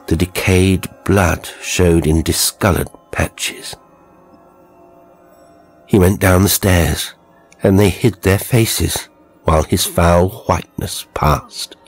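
A man's voice: low voice, husky. A man narrating a horror story in a grave, theatrical, dramatic scripted style.

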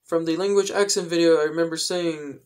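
A young adult man explaining things in a casual vlog style, with careful, halting phrasing, recorded indoors at home. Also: a little high, steady tone, darkish tone. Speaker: video creator. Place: indoors, small room at home.

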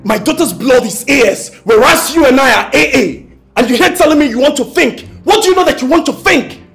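A man acting as a husband and father who pleads with his wife and then confronts her loudly. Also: high voice, very animated, moderate pace, soft background music. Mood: furious. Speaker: actor.

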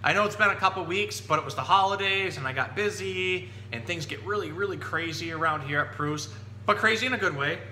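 A man's voice: high voice, fairly quick. A man in his thirties presenting to children as an enthusiastic, explanatory storytime host. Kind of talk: casual educational talk for children, picture-book reading. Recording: roomy echo, steady background hum.

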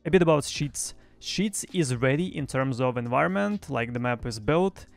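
Young adult male gaming news presenter, creaky edge, mid pitch, home streaming setup. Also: animated, normal speed, no echo. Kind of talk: semi-scripted news recap. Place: small home streaming room. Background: faint music.

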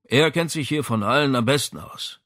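A man's voice: medium pitch, moderate pace, a bit bright, no echo, close to an everyday voice. A man reads as a shuffling, self-deprecating maestro in a crime audiobook. He is wry and confiding, with a dry, close sound.

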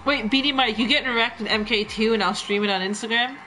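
A woman's voice: a little high, quick pace, noisy background. A young woman with a New York accent, chatting brashly and swearing while streaming a fighting game live. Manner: brash, profane trash talk. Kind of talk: casual live gameplay chat. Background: loud game music.

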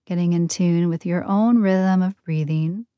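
A woman leading a guided meditation in a soothing, gentle, unhurried manner with long pauses between phrases. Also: fairly low pitch, no echo, clean studio sound. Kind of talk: guided yoga nidra meditation.

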